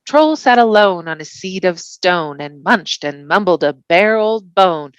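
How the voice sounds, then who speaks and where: A woman's voice: fairly low pitch, expressive, a little slow, clear, resonant tone, no echo, dull audio. A woman voicing a humble young male hobbit with folksy rustic grammar, for a storybook. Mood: playful, singsong.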